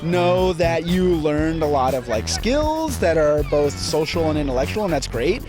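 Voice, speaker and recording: A man's voice: fairly high pitch, big pitch swings, measured pace, over music. A man giving reflective, rambling opinions in a casual interview. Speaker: member of the public being interviewed. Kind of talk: casual chat.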